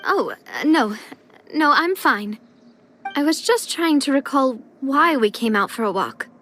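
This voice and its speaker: A woman's voice: high voice, very animated, measured pace, pushed and bright, slight background noise, exaggerated voice. A woman playing an earnest, dutiful young noblewoman in a video game. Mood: dazed, uncertain.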